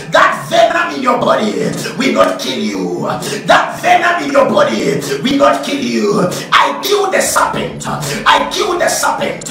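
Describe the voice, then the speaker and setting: A man preaching a fiery sermon, shouting repeated declarations and breaking into speaking in tongues. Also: very high-pitched. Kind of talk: fiery sermon and prophetic prayer. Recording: very echoey hall, voice from far off.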